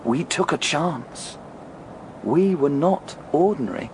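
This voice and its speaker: A restless gambler in a radio drama, over clear vehicle noise. His fairly high voice swings widely as he argues for the thrill of risk, intense and confiding. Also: animated, takes it slowly. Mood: fervent, musing. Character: restless, thrill-seeking British gambler, intense and self-justifying. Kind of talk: BBC radio drama acting.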